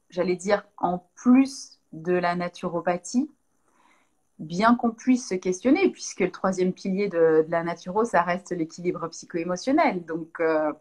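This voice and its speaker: Hesitant, thoughtful French woman. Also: fairly low pitch. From Lyon, France. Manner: thoughtful, many hesitations and restarts. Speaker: naturopath. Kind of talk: casual interview answers.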